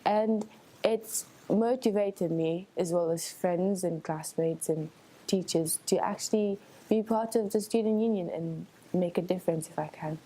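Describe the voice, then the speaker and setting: A woman's voice: on the low side, measured pace, warm tone, rough recording. A young woman from Switzerland giving informal, earnest interview answers as a student election candidate, thinking aloud in a conversational way. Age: young adult.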